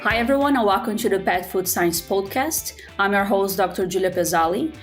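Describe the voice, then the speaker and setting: A woman's voice: medium pitch, expressive, a little fast, over music. A woman hosting an interview podcast in a conversational style. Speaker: podcast host.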